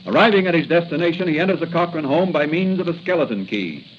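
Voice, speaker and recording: A man's voice: a little high, lively intonation, quick pace, slight background noise. A man narrating in dramatic 1940s radio style, theatrical and suspenseful. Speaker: announcer and narrator. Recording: muffled 1940 radio broadcast. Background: dramatic music.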